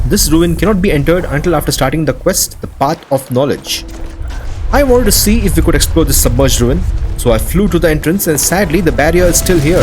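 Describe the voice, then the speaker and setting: A man with a slightly high voice narrates gameplay for his video. He is casual and enthusiastic, working from a loose script, with music playing softly behind him. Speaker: video host. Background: background music.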